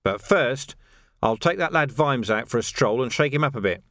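A man voicing a stern middle-aged male watch commander in an audiobook, brisk and lecturing. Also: mid-range voice, lively intonation, fairly bright tone, no echo, clean studio sound. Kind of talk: audiobook character voice.

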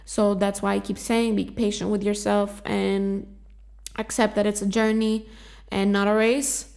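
A woman's voice: mid-range voice, flat delivery, measured pace, vocal fry, darkish tone. Earnest woman, Greek native speaker. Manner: earnest, repetitive, encouraging instruction. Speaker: podcast host. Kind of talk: self-help podcast talk.

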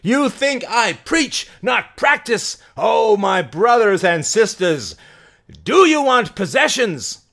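Man voicing a shouting high-pitched fiery rebel woman. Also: expressive, measured pace, exaggerated voice. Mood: challenging, preaching. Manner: declamatory, shouted oratory. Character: fiery rebel woman rousing a mob, defiant, preaching. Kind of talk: audiobook character acting.

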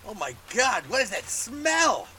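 An actor playing an exasperated, pleading old friend who brings a shady job, in a noir audio drama. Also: male voice, very high-pitched, sing-song, a little slow, sharp tone, a little hiss or hum, full character voice. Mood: disgusted.

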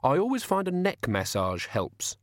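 A man voicing a cheerful, courteous villain in an audiobook, falsely friendly and unhurried in his menace. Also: a little high, wildly swooping pitch, no room sound, studio quality, voice pushed up. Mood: politely menacing. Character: genteel, softly menacing villain, cheerful and polite. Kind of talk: audiobook character voice.

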